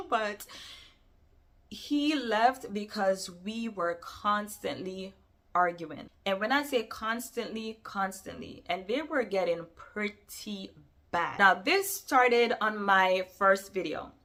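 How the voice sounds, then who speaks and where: A woman from Jamaica with a Jamaican accent tinged with New York, answering viewer questions in a casual, emphatic vlog. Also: medium pitch. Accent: Jamaican mixed with New York. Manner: animated, emphatic, addresses viewers directly.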